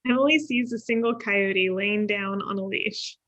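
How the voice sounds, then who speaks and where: A woman's voice: mid-range voice, normal speed, a bit bright. A woman giving scripted narration, reading out stage directions for a table read.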